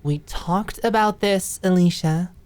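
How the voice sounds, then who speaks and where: A woman's voice: on the low side, measured pace, darkish tone, voice pushed up, lightly acted. A woman voicing a spunky, blunt young woman in an audiobook drama, exasperated and stern with her friend. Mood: stern reprimanding. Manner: stern, teasing, reprimanding.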